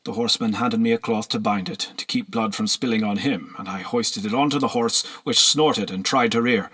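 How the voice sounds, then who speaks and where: A man narrating a historical novel as an audiobook in a measured, solemn storytelling manner. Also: fairly low pitch, pushed and bright, slightly rough.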